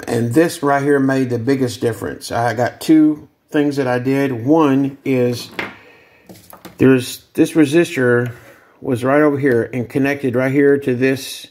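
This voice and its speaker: A man with a noticeably creaky, gravelly voice talks through a hands-on demonstration. He is casual and plainspoken, explaining technical details haltingly, like a hobby builder showing his work. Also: mid-range voice, darkish tone. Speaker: guitar amp builder demonstrating a modification.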